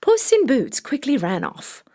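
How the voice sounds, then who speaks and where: A woman narrating a children's fairy tale from a script in a dramatic, expressive storytelling manner. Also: high-pitched, a little slow. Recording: music bed behind voice.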